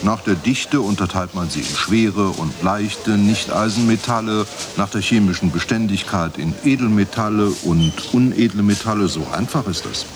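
A man's voice: lowish voice, normal speed, few pauses, crowd noise, close to an everyday voice. A man playing a self-important association president in a radio drama, answering an interview in a patronizing, lecturing tone. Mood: smug. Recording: clearly noisy background.

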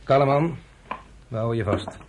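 An actor playing a wary, brisk underground fighter in a radio drama, giving orders and questions in a curt, commanding way. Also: male voice, medium pitch, lightly acted. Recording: faint background noise.

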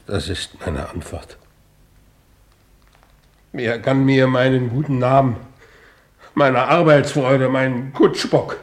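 A man's voice: mid-range voice, close to an everyday voice. An actor playing a ruined coachman and ex-convict, blunt, sardonic and accusing, in a 1950s German radio play. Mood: bitter, defiant.

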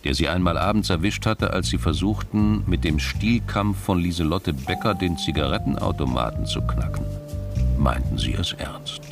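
A man narrating a scripted crime audio drama in a measured, literary storytelling manner. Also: low voice. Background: music.

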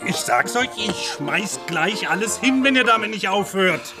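A man's voice: high-pitched, lively intonation, unhurried, vocal fry, full character voice. A man playing a grumbling, put-upon monk who complains indignantly in a children's radio play. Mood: annoyed. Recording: loud music underneath.

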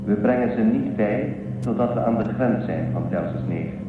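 A cautious, scheming machine mind aboard a starship played by a man in a radio play. The voice is lowish, measured and formal, heard from a distance through very heavy echo. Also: heavy background noise, close to an everyday voice. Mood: businesslike. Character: cautious, conspiring male ship's computer intelligence, number two. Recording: echoing, muffled, distant, loud music.

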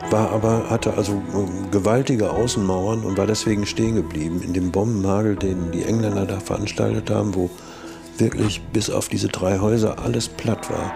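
An older man reminiscing in a documentary interview, halting as he repeats and rephrases. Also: fairly low pitch, steady tone, vocal fry, music in the background. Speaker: studio eyewitness interviewee, sound engineer.

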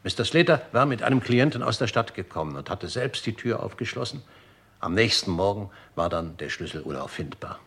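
An actor playing an older, formal manservant in a German radio crime drama, deferential and deliberate, with a husky, gravelly voice. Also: male voice, fairly low pitch, lively intonation, fairly bright tone, exaggerated voice. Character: dutiful, formal old-school manservant of a murdered gentleman.

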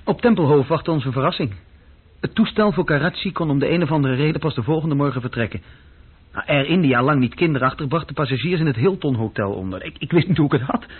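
A man's voice: a little high, animated, quick pace, husky. An actor playing an uneasy, insecure young junior clerk who tells his story hesitantly and intimately in a radio play. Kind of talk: radio play acting, intimate first-person narration. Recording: dull, muffled old radio recording.

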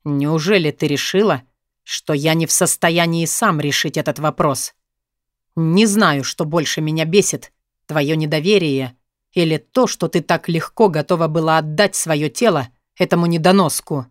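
A cold, domineering man from an audiobook drama, performed by a woman reader. The voice is dropped low and speaks in a bitter, commanding way with a menacing edge. The sound is very dry. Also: normal speed, no room sound, close to an everyday voice. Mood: cold anger.

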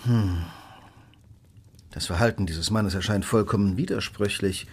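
An actor playing a cool, analytical Victorian gentleman detective in a radio play, precise and probing as he questions. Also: fairly low pitch, measured pace, slightly rough.

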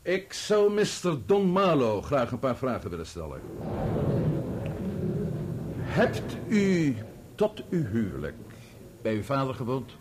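A man's voice: medium pitch, wildly swooping pitch, a little hiss or hum. A man acting in a radio play as a sceptical defence counsel, formal and insistent, leaning on his words to needle the witness. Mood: formal, probing. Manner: formal, needling, deliberate emphasis.

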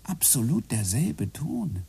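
A man's voice: mid-range voice, huge pitch swings, slow pace, gravelly, dark tone, breathy edge, exaggerated voice. An actor playing a brooding man obsessed with physics, musing and explaining as he talks, in a radio play. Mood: thoughtful. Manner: musing, explaining, drifts into whispers. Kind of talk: radio drama acting.

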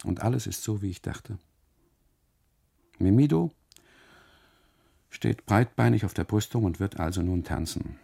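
Anxious brooding husband, literary radio monologue, dark mellow tone, gravelly creak, halting deliberate phrasing, repetitions. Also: male voice, lowish voice, expressive, exaggerated voice. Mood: anxious unease. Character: uneasy German husband on holiday, obsessively narrating his story. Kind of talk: literary radio monologue, inward first-person narration.

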